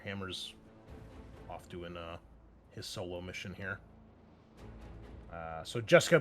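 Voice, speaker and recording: A man running a tabletop roleplaying game as game master, explaining rules in a halting way and thinking aloud. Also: fairly low pitch. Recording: loud background music. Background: music.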